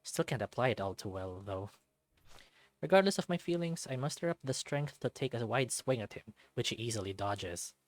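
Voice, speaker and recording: A man reading a visual novel aloud in an expressive way, dropping in casual asides of his own. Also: medium pitch, normal speed, dry recording.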